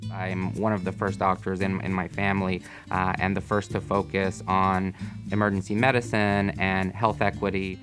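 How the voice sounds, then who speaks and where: Calm low-voiced man, even delivery. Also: over music. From United States (Miami, Florida). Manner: calm, measured, with occasional fillers. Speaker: emergency physician. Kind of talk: interview testimonial.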